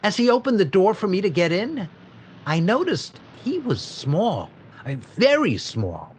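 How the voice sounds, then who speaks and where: An actor playing a shaken factory owner in a 1940s-style radio drama, talking in a hesitant, stammering way. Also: male voice, high-pitched, huge pitch swings, measured pace, a little hiss or hum, full character voice. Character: shaken middle-aged male factory owner robbed of payroll.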